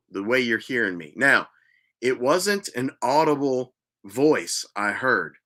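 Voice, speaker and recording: A man earnestly telling a personal spiritual story as host, repeating himself for emphasis and sometimes dropping to a hushed voice. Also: mid-range voice. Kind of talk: personal testimony monologue.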